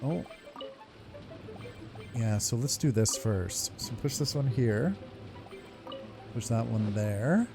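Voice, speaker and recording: A man hosting a gaming video in casual, sweary commentary. His voice is gravelly and swings up and down in pitch as he gets excited. Music plays behind him. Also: slow pace, pauses often. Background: game music.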